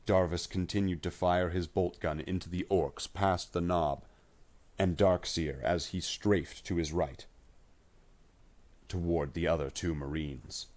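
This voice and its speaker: A male storyteller reads dramatic fiction in a very deep voice. His delivery is slow and measured, with deliberate phrasing throughout. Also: fairly even intonation, a little mellow. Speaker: narrator. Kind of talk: scripted dramatic story narration.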